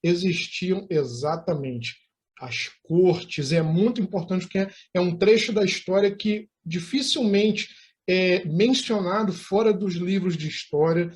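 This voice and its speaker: High-voiced Brazilian male interview host. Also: unhurried. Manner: formal, elaborate wording. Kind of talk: conversational interviewing.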